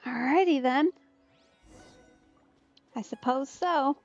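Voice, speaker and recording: Unhurried woman streamer with dull sound. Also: high voice, faint music behind. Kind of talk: casual let's play commentary.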